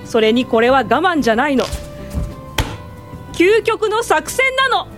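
A woman's voice: high voice, very animated, a little slow, over music. A woman acting in a Japanese radio drama as a shrewd, confident suffragist, persuasive and theatrical in delivery.

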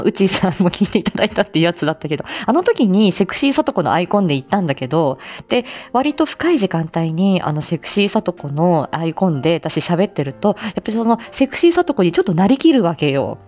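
A middle-aged woman hosts a casual livestream in a fairly low voice. Her intonation moves up and down freely as she chats in a playful, self-deprecating way. Music plays softly behind her. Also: big pitch swings, fast. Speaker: livestream host.

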